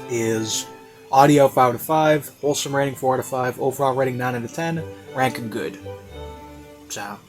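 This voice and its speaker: Male online reviewer, mid-range voice, off-the-cuff and meandering with many ums and likes, over soft classical music. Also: medium pitch. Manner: rambling, hesitant, filler-heavy. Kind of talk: casual unscripted review commentary.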